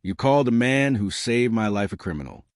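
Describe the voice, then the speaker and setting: A man's voice: mid-range voice, animated, dry recording, studio quality, natural voice. A man voicing a steely woman accusing her abuser. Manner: measured, accusing, deliberate delivery.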